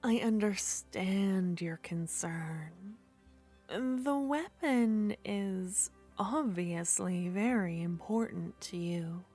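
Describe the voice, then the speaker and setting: Sweet clingy witch played by a woman, soft and whispery, super slow and drawn out, little bit of music behind. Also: mid-range voice. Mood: coaxing. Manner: soft-spoken, slipping into whispers, coaxing and flattering. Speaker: ASMR roleplay performer. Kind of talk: ASMR roleplay.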